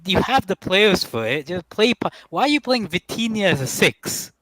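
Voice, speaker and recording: A man's voice: high-pitched, very animated, moderate pace, a bit bright, no echo, low-quality recording. A young man in a casual football chat, rambling and hesitant, with many fillers and restarts. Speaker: panelist.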